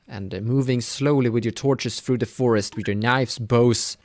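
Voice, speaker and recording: A man acting as game master, improvising narration for a tabletop horror roleplaying game in a halting way. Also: mid-range voice.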